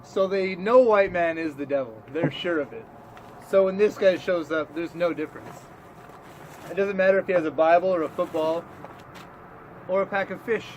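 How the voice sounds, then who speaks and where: Man, comedy co-host, higher-pitched voice, mock-serious, profane, loose news banter, faint noise, amateur recording. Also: normal speed, darkish tone, highs cut off.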